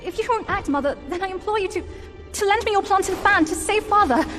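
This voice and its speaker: A woman's voice: very high voice, quick pace, fairly bright tone. A woman playing a fiery, devoted young demon princess in a fantasy game, impassioned, breathless and indignant. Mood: pleading. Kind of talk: dramatic fantasy game acting. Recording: reverberant hall with music behind.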